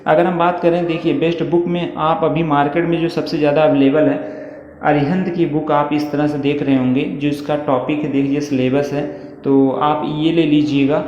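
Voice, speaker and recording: A man's voice: fairly high pitch, flat delivery, normal speed, darkish tone. A man explaining exam material informally like an online coaching teacher, reassuring and often checking in with listeners. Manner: reassuring, repetitive check-in phrases. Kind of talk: informal explanatory lesson, reading through a syllabus. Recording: echoey reverberant room, muffled, faint noise.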